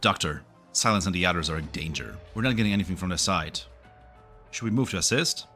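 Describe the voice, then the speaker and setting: A low, forceful man acting as a dutiful male staffer. He sounds concerned, in scripted game dub style, over faint music. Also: animated, quick pace, exaggerated voice. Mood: businesslike.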